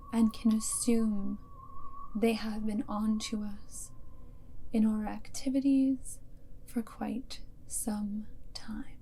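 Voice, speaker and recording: A woman playing an aloof, commanding vampire girlfriend and wartime spy in an ASMR roleplay, speaking softly and deliberately in a breathy, often whispered voice. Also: on the high side, slight background noise, low-quality recording. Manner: slow, deliberate, hypnotic instructing.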